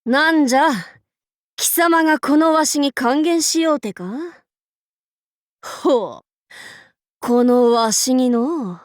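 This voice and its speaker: A woman's voice: high voice, slow, pauses often, no echo, studio quality. A woman playing a proud, boisterous warlord in an anime game, with pompous, playful, archaic lordly speech. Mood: imperious. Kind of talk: anime game character voice lines.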